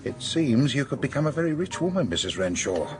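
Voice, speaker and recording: An actor playing a dry, guarded senior English police officer in a radio drama, formal and measured with a sardonic edge. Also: male voice, medium pitch, sing-song, music in the background, natural voice.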